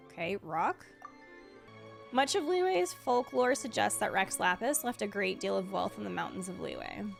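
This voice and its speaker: A woman's voice: mid-range voice, vocal fry. A woman game streamer giving casual live commentary as she plays and reads along. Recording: soft game music behind.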